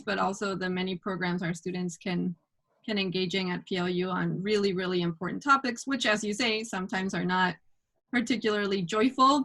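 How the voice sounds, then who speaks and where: A woman's voice: medium pitch, creaky, a bit bright. A woman co-hosting a podcast over a video call, affirming and encouraging her guests. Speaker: co-host.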